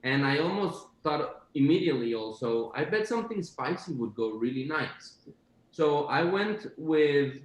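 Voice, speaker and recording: A man's voice: a little high, animated, measured pace. Echoey Costa Rican man, enthusiastic. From Costa Rica. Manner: enthusiastic, descriptive, many fillers. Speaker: chef guest. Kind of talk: casual video-call chat.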